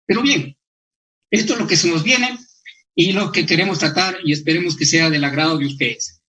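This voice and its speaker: A man's voice: on the high side, normal speed, pushed and bright, a little hiss or hum, poor audio. A man hosting a talk show, introducing guests with many hesitant fillers. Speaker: TV host.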